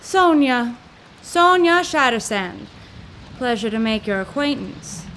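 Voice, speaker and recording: A woman's voice: fairly high pitch, expressive, slow, fairly bright tone, slight background noise, poor audio. A woman playing a feisty, suspicious young 1930s woman in a radio comedy drama, brash and theatrical, full of period slang. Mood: curt.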